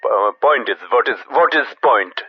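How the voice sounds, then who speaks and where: Single-minded male caller: mid-pitched rough voice, halting and repetitive, dulled line. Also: expressive, no room sound. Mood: dogged puzzlement. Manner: halting, repetitive, clipped grammar. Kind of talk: spoof radio phone-in. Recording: dull, dry phone sound.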